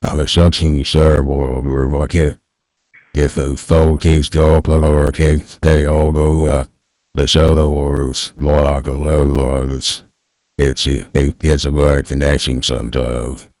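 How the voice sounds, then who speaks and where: A man's voice: flat delivery, darkish tone, no room sound, exaggerated voice. A man playing a rambling old backwoods Southern man on a prank call, mumbling and slurring through a voice changer. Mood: rambling, folksy. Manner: rambling, halting, repeats words. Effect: pitch-lowering voice changer. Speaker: scam baiter. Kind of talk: prank phone call in character.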